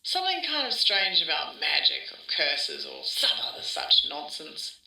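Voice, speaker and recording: A woman's voice: sits low, wildly swooping pitch, normal speed, pushed and bright, full character voice. A woman playing an older woman who rambles wistfully through childhood memories in a conversational, interview-style podcast drama. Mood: remembering. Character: wistful older Australian woman reminiscing about her small-town childhood. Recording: roomy echo, distant from mic.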